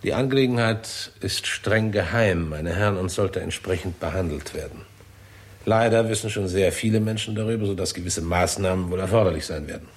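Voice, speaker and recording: An actor playing an authoritative American observatory director in a 1960s German radio drama, laying things out in a deliberate, orderly, official manner. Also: male voice, sits low, natural voice. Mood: official, firm.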